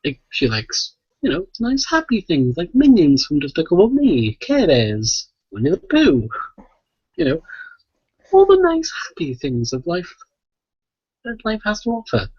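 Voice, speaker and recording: A man's voice: high voice, unhurried, husky. Man swearing through stories, muffled echoey audio. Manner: sweary, animated storytelling with frequent "right?" tags. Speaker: podcast host. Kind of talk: casual chat, rambling anecdotes. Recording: muffled, echoey voice-chat recording.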